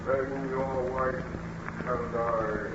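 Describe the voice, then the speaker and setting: A man's voice: mid-range voice, fairly even intonation, drawn-out words. A man playing a hideous, vengeful monster with ponderous, theatrical menace in an old radio drama. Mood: brooding, menacing. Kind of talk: 1930s radio acting. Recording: dull, noisy old radio recording.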